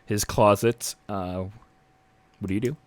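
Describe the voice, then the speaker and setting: A man's voice: on the low side, fairly even intonation, a little slow, no echo. A man running a tabletop game as game master, narrating in a casual, hesitant way.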